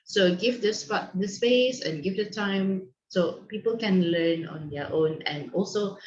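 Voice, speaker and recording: A woman from Malaysia hosting an online teacher meeting on a video call, giving friendly instructions with frequent ums and restarts. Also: mid-range voice. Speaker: online session host and co-founder. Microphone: video call microphone. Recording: dull lo-fi echoey call audio.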